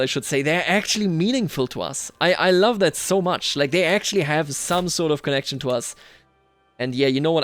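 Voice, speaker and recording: A man giving casual commentary on a game playthrough, thinking aloud and speaking in character to the people in it. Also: a little high. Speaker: host of a gaming series.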